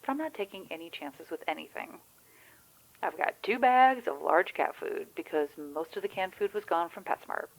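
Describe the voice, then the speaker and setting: A woman's voice: lowish voice, expressive, normal speed, creaky, poor audio. A woman playing a chatty, wry, anxious friend in a naturalistic audio drama, rambling candidly with the odd swear. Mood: resigned worry. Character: chatty, wry, anxious Michigan woman on the phone during zombie outbreak.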